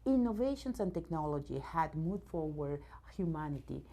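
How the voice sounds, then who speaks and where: Woman, from Venezuela, English speaker, low voice, hesitant restarts, enthusiastic, CEO interviewee. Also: lively intonation, normal speed, warm tone. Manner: many fillers and restarts, searching for words; enthusiastic about her subject. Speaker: robotics company CEO, guest. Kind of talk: news interview.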